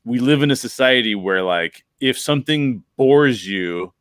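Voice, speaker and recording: A middle-aged American man hosts a casual podcast from home. He speaks emphatically in a medium-pitched voice, close to the microphone with very little room sound. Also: expressive, a little slow, a bit bright, no echo. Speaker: podcast host. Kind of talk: casual, animated podcast discussion. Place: bedroom at home, on a video call. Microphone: close desk microphone with headset.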